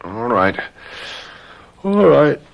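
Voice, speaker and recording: An actor playing an eager, smitten young detective in a radio drama, earnest and a little hesitant. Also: male voice, medium pitch, very animated, very slow, gravelly, muffled recording, full character voice. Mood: amorous. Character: eager young French police detective, smitten fiancé, slightly slow-witted.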